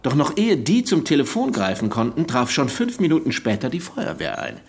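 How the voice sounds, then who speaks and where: An actor playing a shrewd, methodical detective in a radio drama, laying things out deliberately and pointedly. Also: male voice, a little high, sing-song. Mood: measured, pointed.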